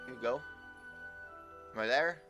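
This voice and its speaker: Male game streamer, mid-range voice, casual and halting, stumbles over repeated words, soft music behind. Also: mid-range voice, highs cut off. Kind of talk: casual live game commentary.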